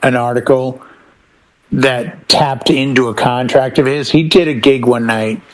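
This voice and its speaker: A man's voice: mid-range voice, fairly even intonation, unhurried, rough recording. A man in a casual panel chat, telling anecdotes and laying things out step by step with emphasis. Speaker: DJ and podcast panelist.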